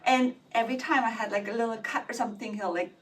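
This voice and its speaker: A woman's voice: on the high side, fast talker, fairly bright tone. A middle-aged woman from Austria, a makeup artist chatting upbeat in a casual vlog and talking through her products. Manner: chatty and upbeat, with fillers like "you know". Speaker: makeup artist and beauty brand founder. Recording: distant phone mic with background noise.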